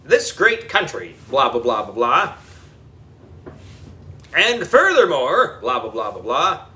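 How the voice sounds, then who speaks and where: Man acting pompous male mayor, declamatory speechmaking, forceful bright tone, slightly off-mic, storybook. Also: mid-range voice, huge pitch swings, slow, far from the mic, exaggerated voice. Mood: speechifying. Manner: declamatory, droning political speechmaking. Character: pompous, long-winded male town mayor making speeches. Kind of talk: children's audiobook character voice.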